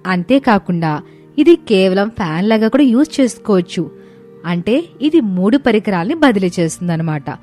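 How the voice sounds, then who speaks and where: A woman's voice: fairly high pitch, darkish tone. Woman voicing story dialogue. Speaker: narrator voicing characters.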